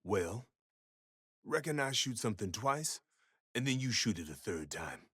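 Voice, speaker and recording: A man playing a stoic, gruff gunslinger and devoted husband in a video game, speaking tersely in a husky, folksy drawl. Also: on the low side, sing-song, measured pace, a bit bright, dry recording, studio quality, exaggerated voice. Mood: guarded. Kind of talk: video game character voice lines.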